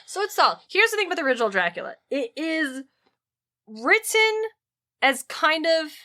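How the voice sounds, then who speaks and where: A woman podcast host telling stories in a casual, comic chat, animated and emphatic, with plenty of swearing. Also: sits high, moderate pace.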